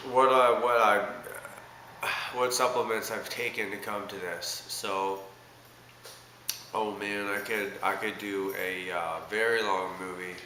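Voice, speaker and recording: A man from Canada with a stuffed-up cold, rambling hesitantly with many fillers in a casual video diary. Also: fairly low pitch. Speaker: vlogger. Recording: echoey room with loud background noise.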